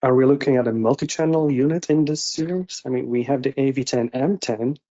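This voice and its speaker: A man's voice: medium pitch, a little fast, dark tone. A middle-aged man moderating an informal online Q&A, reading out technical questions from the audience. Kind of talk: informal webinar Q&A. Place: indoor room on a video call. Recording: muffled video-call audio.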